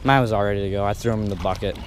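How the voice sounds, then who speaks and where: A young man filming himself fishing on a riverbank, chatting excitedly with friends and swearing as fish are landed. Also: fairly low pitch, rapid-fire, background noise. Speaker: angler filming his fishing trip. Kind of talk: casual outdoor banter. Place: riverbank outdoors. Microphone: body-worn action camera.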